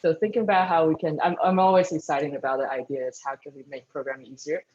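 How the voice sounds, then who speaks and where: A speaker from Taiwan, answering interview questions thoughtfully, often pausing with ums and restarting sentences. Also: medium pitch, flat delivery, darkish tone, highs cut off. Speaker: guest speaker, engineering manager.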